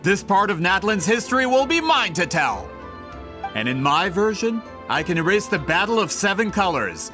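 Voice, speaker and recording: An actor playing a smug, greedy businessman villain in a video game, with theatrical, drawn-out gloating. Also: high voice, very animated, over music.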